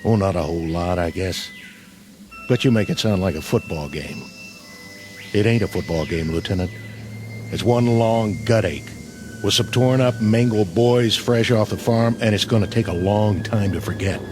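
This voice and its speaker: An actor as a weary, sardonic army sergeant. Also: male voice, lowish voice, moderate pace, background noise, lightly acted. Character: weary, dry-witted veteran male army sergeant. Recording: soundtrack with loud score.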